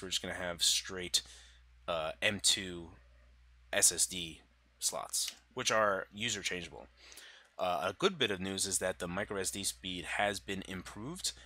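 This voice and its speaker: A deep-voiced man with a bright, forward edge to his tone, talking through hardware specs. He sounds congested from a cold and talks loosely, full of "uh"s and restarts. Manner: loose, filler-heavy, self-correcting. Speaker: tech channel host. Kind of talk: casual tech explainer over slides.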